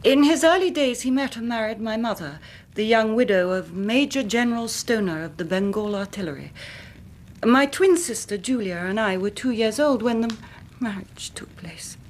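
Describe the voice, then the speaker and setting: Actress as an anxious, careworn English gentlewoman, rumbling background. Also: expressive, moderate pace, a little hiss or hum, natural voice. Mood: composed, troubled. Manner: formal, precise, dramatic narrating with hushed moments. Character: anxious, careworn young Victorian gentlewoman recounting family tragedy. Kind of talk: British radio drama acting.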